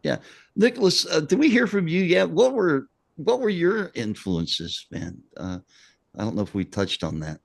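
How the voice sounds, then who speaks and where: A man's voice: a little high, sing-song, moderate pace, vocal fry, dry recording, studio quality. A man interviewing a band, casually encouraging and often calling guests "man". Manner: affirming, says "man" often.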